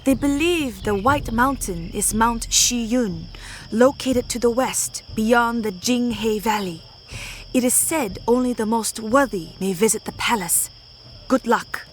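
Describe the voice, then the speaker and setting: High-voiced solemn woman warrior of an ancient order. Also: slow pace, a little hiss or hum. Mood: grave, earnest. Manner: earnest, formal, dramatic delivery. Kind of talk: dramatic video game voice acting.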